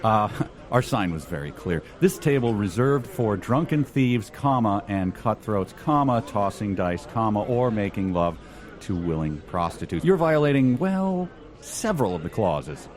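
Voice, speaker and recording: A man's voice: fairly low pitch, very animated, a little slow, a little mellow. A man voicing a fussy, rule-bound tavern attendant in a comedy sketch, officious, pedantic and mock-polite.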